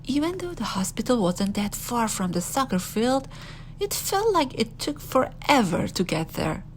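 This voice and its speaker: A woman reading a first-person children's story aloud in an expressive, animated storytelling manner. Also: a little high, moderate pace, slight background noise.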